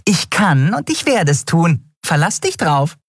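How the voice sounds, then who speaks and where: A man's voice: fairly high pitch, big pitch swings, normal speed, no echo, studio quality, soft background music, pitched above the performer's own voice. A man voicing a tiny winged female card shark in an audiobook, cheeky, playful and theatrically gloating. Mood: gloating menace. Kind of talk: audiobook character voice.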